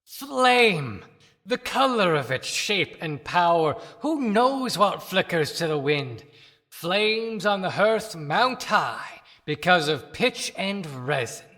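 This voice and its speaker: A reflective, philosophical soul voiced by a woman delivers a poetic monologue. The speech is very slow and bright-toned, echoing in a long hall reverb. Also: fairly low pitch, big pitch swings, heavily acted. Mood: intense, reflective.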